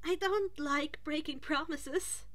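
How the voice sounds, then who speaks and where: A woman's voice: sits high, slow pace, a bit bright, voice pushed up. A woman voicing a polite, eager and nervous teenage schoolboy in a story reading, with an apologetic, earnest manner. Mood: earnest, friendly.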